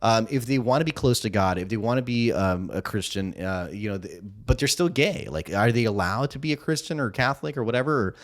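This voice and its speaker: Lively-voiced young man hosting a podcast. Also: fairly low pitch, a little fast, few pauses. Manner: joking, rambling, tells off-color jokes. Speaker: podcast host. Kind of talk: casual chat.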